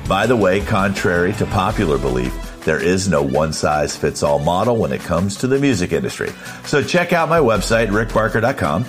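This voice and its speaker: A man hosting a podcast in a motivational, advice-giving style, emphatic and plain-spoken, with a husky voice. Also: low-pitched, sing-song, fairly quick, few pauses, faint music behind. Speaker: podcast host, music manager.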